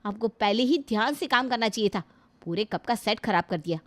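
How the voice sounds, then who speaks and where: A woman voicing a rude, sharp-tongued young daughter-in-law who scolds her mother-in-law. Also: a little high, fairly quick, no echo, natural voice. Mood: scolding. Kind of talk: Hindi family drama acting.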